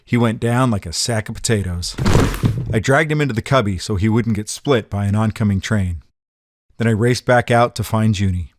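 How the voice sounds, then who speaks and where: A man's voice: fairly low pitch, normal speed, background noise, natural voice. A man playing a cocky, streetwise tough guy in a noir audio drama, slangy and matter-of-fact. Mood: hardboiled, determined. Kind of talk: first-person noir audio drama narration.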